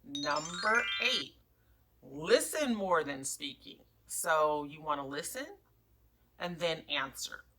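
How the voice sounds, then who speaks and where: Low-pitched woman giving emphatic career advice. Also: slow pace, over music. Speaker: human resources career coach, presenter. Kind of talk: instructional advice talk, numbered tips.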